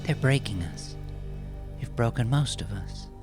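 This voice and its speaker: A man voicing a caged, weary woman captive in a bleak, resigned manner for a dark story. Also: medium pitch, expressive, unhurried, over music, pitched above the performer's own voice, close to an everyday voice.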